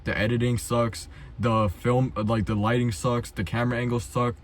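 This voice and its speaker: A man's voice: medium pitch, flat delivery, moderate pace, slight background noise. A young adult man chatting casually and unscripted as a video host, blunt, self-deprecating and full of slang and swearing. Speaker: amateur filmmaker, video host.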